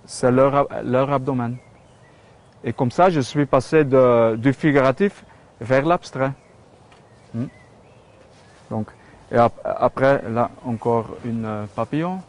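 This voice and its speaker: A man with a Flemish accent, his first language Dutch, speaks French at an unhurried, halting pace, full of fillers and restarts. His voice sits at an ordinary middle pitch. He speaks casually, as if showing someone around his work outdoors in a rural spot. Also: darkish tone. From Belgium. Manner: hesitant, many fillers and restarts. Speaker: metal sculptor. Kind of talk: casual studio tour.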